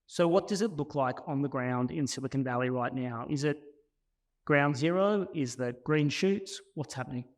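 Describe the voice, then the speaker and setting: A man's voice: medium pitch, moderate pace. A man hosting an on-stage interview, putting questions in a conversational style. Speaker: interviewer, event host.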